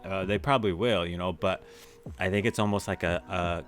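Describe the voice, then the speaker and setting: A man co-hosting a podcast, giving his opinions in casual, animated talk. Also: low-pitched, a little fast, faint music behind. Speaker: podcast co-host. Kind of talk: casual chat.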